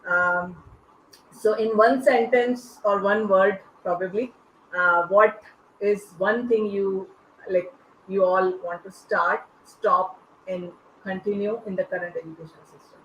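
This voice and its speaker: A panel host of unclear sex speaking informally and a little haltingly while moderating questions. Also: medium pitch, steady tone, fairly bright tone. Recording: echoey, dull online call with faint noise.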